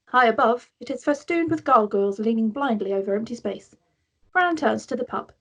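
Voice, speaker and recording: A woman narrates screenplay directions at a table read. Her voice is a little high with a breathy, husky grain, and she reads in a scripted storytelling style. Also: expressive, normal speed.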